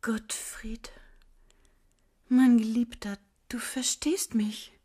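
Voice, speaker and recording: A breathy radio-play woman who drifts between hushed whispers and sudden eager questions. Her voice is airy and husky, never fully clear. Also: a little high, very slow, heavily acted. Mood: tender. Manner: whispery, musing, shifts between hushed and excited. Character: dreamy woman chasing a formula of time.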